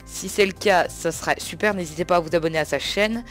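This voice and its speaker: Mid-pitched voice, casual fan opinion, hesitant, repetitive, colloquial, light background music. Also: quick pace, fairly bright tone. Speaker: guest debater, anime fan. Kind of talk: casual improvised opinion talk.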